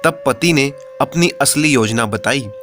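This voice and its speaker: A man's voice: mid-range voice, unhurried, fairly bright tone, soft background music. A man narrating a scripted, humorous moral story as a storyteller.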